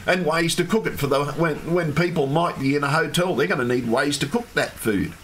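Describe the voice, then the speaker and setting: A man, hoarse and losing his voice, ranting in an agitated, repetitive livestream monologue with some swearing. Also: on the high side, fairly quick, fairly bright tone. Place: home room with fish tank. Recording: faint background hum from fish tank.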